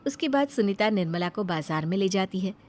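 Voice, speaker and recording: A woman narrating a cartoon bedtime story in an even, storytelling delivery. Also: medium pitch, fairly quick.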